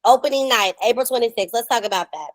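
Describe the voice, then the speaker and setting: High-voiced, enthusiastic American woman hosting. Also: fast talker, no room sound. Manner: enthusiastic, slangy, emphatic. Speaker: podcast host. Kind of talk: casual promotional chat. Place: indoors, on a video call. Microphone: video call microphone.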